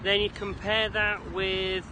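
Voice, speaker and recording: A man's voice: high voice, little pitch movement, slow. A British man from the Isle of Man hosts a rambling walking vlog, chatty and jokey with quaint slang, a little out of breath as he goes. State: slightly out of breath from brisk walking. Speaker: vlog host. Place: outdoors along a city creek. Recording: noisy outdoor recording, city traffic ambience.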